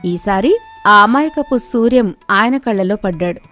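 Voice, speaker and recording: A woman's voice: mid-range voice, lively intonation, unhurried, a bit bright, soft background music. A woman storyteller giving scripted narration of moral tales.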